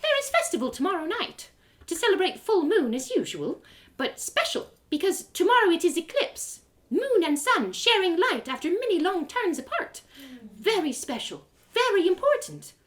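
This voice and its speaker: A woman's voice: very high-pitched, big pitch swings, normal speed, voice pushed up. A woman voicing an eager, childlike, giggly elf who speaks in quaint clipped phrases with dropped articles in a fantasy story. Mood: earnest.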